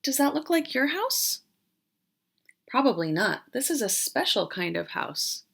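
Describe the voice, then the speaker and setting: A woman teacher doing an interactive read-aloud for young children, explaining as she goes and pausing after questions. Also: high-pitched, sing-song, creaky, a bit bright. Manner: questioning, explanatory, with pauses for answers.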